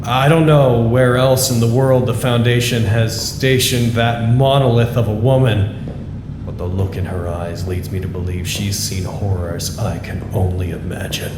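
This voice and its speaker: An actor playing an anxious, earnest office worker who records wry, reflective, halting confessional reports in an audio drama. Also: male voice, fairly low pitch, steady tone, noisy background, lightly acted. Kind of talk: audio drama monologue, intimate log narration.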